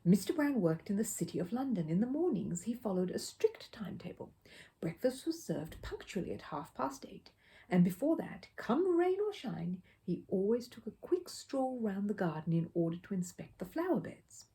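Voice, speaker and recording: A woman's voice: medium pitch, very animated, normal speed, dark tone. A woman storyteller narrating a children's book expressively.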